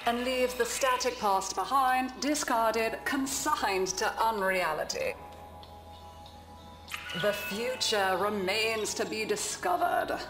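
A woman voicing a calm computerised lesson narrator in a science fiction game, measured and instructive. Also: medium pitch, roomy sound, natural voice. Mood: solemn, instructive. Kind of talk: scripted educational narration.

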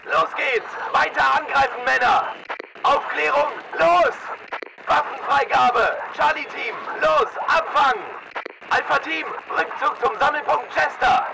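Casting: tough mercenary soldier for shooter game, rough fry, clipped commanding chatter, noisy recording. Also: male voice, very high-pitched, steady tone, measured pace, far from the mic, heavily acted. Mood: barking, urgent. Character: armed mercenary soldier, tough, aggressive and disciplined. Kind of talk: military battle chatter, short barked commands.

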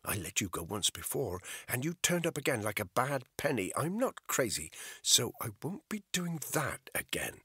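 A man's voice: mid-range voice, sing-song, moderate pace, hardly any pauses, sharp tone, breathy edge, no room sound, studio quality, pitched above the performer's own voice, exaggerated voice. A man voicing a volatile, dangerous woman who hisses and whispers her scorn. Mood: menacing.

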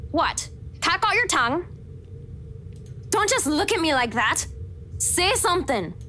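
Teen ghost girl, acted by a woman, visual novel. High, demanding, hurt and insistent. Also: sing-song, unhurried, pushed and bright, slight background noise, heavily acted. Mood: accusing. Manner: reproachful, insistent. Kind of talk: visual novel character read-aloud.

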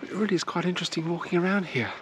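A woman's voice: fairly low pitch, racing. A middle-aged woman giving walking-tour commentary at castle ruins, talking unhurriedly with many hesitations into a camera held at arm's length. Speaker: travel vlogger. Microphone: handheld camera at arm's length. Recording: outdoor noise in the background.

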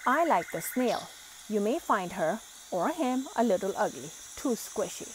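A woman reading a children's picture book aloud, expressive and lively in the exclamations. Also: mid-range voice, a little slow, background noise. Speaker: storybook reader.

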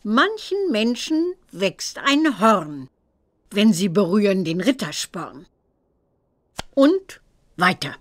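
A woman voicing a stern old witch examiner who teaches herbalism in a children's computer game, theatrical and deliberate. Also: medium pitch, sing-song, slight background noise. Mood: theatrical, teasing.

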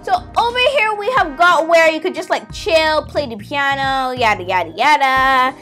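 High-pitched young girl gaming host, chatty. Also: moderate pace, a bit bright, faint music behind. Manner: chatty, exclamatory, addressing viewers. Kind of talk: casual playful game tour commentary.

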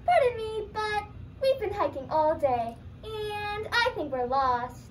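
A woman's voice: very high-pitched, lively intonation, slow pace, full character voice. A young female student voicing a puppet character in a scripted comic puppet show. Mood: puzzled, exasperated. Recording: faint background noise.

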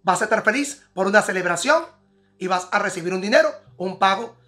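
A man's voice: sits high, lively intonation, fairly quick, pushed and bright, faint music behind. A man giving a tarot prediction, repeating and rephrasing each line with emphasis. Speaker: tarot reader.

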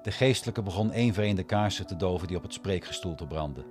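A man narrating a scripted radio drama in a measured storytelling manner. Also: low voice. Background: music.

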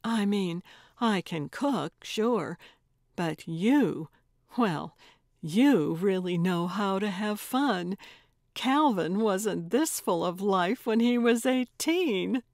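Casting: fun-loving older grandmother played by a woman narrator, candid, slow-paced, mid pitch, audiobook dialogue. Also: expressive, darkish tone, no room sound, studio quality. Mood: candid, warm. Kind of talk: audiobook character voice.

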